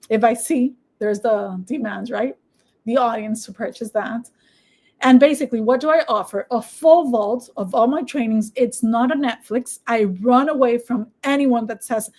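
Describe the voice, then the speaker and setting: Spanish-accented woman coaching at a brisk pace. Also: a little high, darkish tone. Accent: Dominican Spanish. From Dominican Republic. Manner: brisk, persuasive, checks in with "okay?". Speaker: online business coach. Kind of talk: screen-share tutorial and sales pitch.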